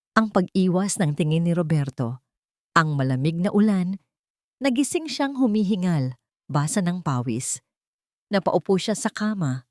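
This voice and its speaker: A woman narrates a scripted drama in storytelling style. Her voice is a little lower than most women's. The sound is very dry, with no room around it. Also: darkish tone, dry recording.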